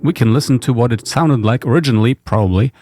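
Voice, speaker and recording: A man's voice: mid-range voice, quick pace, dark tone. A man giving a casual screencast tutorial as a developer, informal and understated, thinking aloud. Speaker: software developer presenting own tool. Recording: noticeable background noise.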